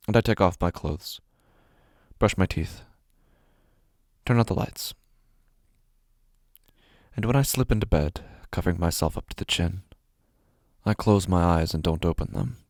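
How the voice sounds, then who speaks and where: A low-pitched man acted as an anxious office worker who is coming apart. He tells his own horror story in an audio drama, in short, intense, broken phrases. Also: creaky, darkish tone, dry recording, clean studio sound, full character voice. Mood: subdued, drained. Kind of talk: first-person horror audio drama monologue.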